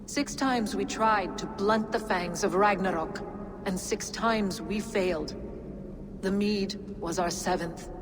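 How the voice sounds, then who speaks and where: A woman playing a solemn, banished giantess sorceress who mourns her husband, speaking gravely and formally in a video game. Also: mid-range voice, takes it slowly, background noise, lightly acted. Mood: solemn, wounded. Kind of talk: dramatic video game acting.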